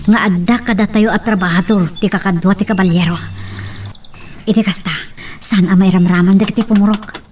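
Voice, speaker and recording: A woman's voice: medium pitch, steady tone, a little fast, a little mellow, close to an everyday voice. A woman with an Ilocano Filipino accent playing an earnest, resolute daughter in a radio-style drama. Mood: determined. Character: resolute daughter planning a defence with her father. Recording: distant mic, faint background noise with insects.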